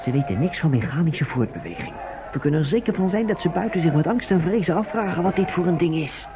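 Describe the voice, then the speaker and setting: An actor playing a cool-headed tour guide from the future in a vintage Dutch radio drama, reasoning and placating. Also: male voice, a little high, lively intonation, quick pace, background noise. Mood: confident. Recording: dull old radio recording.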